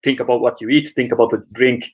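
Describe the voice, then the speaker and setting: A man's voice: medium pitch, steady tone, fast talker, breathy edge. A man from Finland, a sleep coach, answering interview questions in a hesitant way, with many fillers, restarts and laughs.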